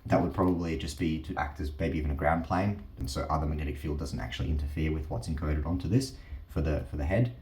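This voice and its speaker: A man giving a casual hands-on explanation, haltingly and with repeated words, as a host. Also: a bass voice, a little mellow, a little hiss or hum. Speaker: host of a teardown channel. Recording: roomy, echoing sound.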